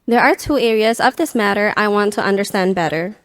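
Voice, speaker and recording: A woman's voice: medium pitch, moderate pace, no echo. A woman from Indonesia, earnestly reading a prepared question aloud.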